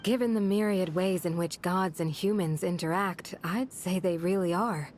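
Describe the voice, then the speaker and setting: A woman's voice: fairly low pitch, moderate pace, a little mellow, slight background noise, close to an everyday voice. An actress playing an earnest, steadfast woman who guards a desert village, speaking with composed sincerity in a video game. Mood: composed, sincere.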